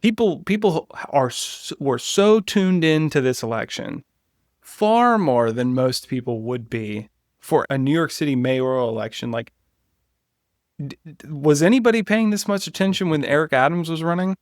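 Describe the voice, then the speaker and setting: A man's voice: on the high side, normal speed, no room sound. Opinionated man chatting politics, dry close sound. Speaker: political podcast co-host. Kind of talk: casual political discussion.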